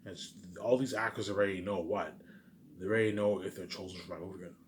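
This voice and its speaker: Low-voiced man rambling over background noise. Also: fairly even intonation, quick pace. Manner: repetitive, musing aloud. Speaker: vlogger. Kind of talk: casual rambling monologue. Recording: noisy amateur recording.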